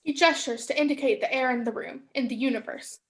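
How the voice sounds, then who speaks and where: High-voiced young woman narrating. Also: a little fast. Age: young adult. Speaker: stage directions reader. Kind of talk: scripted narration.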